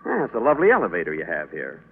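A man's voice: low-pitched, wildly swooping pitch, racing, phone-quality sound, heavily acted. An actor playing a mild, bumbling male angel with dry, politely smug wit in a 1940s radio play. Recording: scratchy old radio broadcast.

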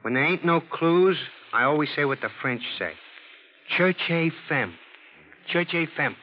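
An actor playing a dim, self-satisfied police sergeant in a 1940s radio drama, with slangy, ungrammatical talk. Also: male voice, on the high side, animated, measured pace. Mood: cocky. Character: dim, cocky, uneducated police sergeant, comic sidekick. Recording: dull, noisy vintage radio recording.

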